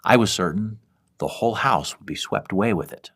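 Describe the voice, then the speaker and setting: Very low-pitched man narrating horror fiction. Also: expressive, brisk, fairly bright tone. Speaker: author reading own horror fiction podcast. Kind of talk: scripted first-person horror narration.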